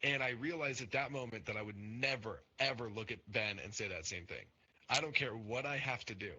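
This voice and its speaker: A man's voice: medium pitch, brisk, sharp tone, husky, highs cut off. A young man hosting a casual podcast, talking earnestly and rambling with many fillers and restarts. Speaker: podcast host and Twitch streamer.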